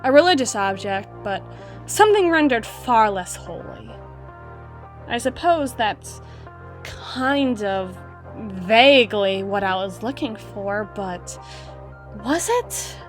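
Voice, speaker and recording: A woman playing a wistful, guarded female half-spider bouncer in an intimate roleplay monologue, musing with dramatic pauses and soft trailing phrases. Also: medium pitch, lively intonation, faint music behind. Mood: hurt, reflective. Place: close-miked recording with music playing behind.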